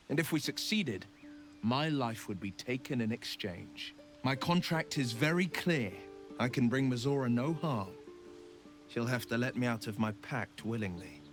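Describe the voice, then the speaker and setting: A man's voice: medium pitch, a bit bright. A man playing a horned, pact-bound warlock hero in a video game, speaking with solemn, eloquent, theatrical earnestness. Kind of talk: dramatic video game acting.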